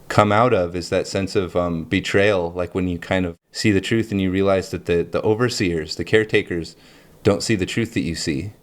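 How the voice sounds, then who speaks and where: A man with a low voice hosting his own channel, talking through ideas in a rambling, reflective monologue full of fillers and frequent laughter. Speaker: channel host.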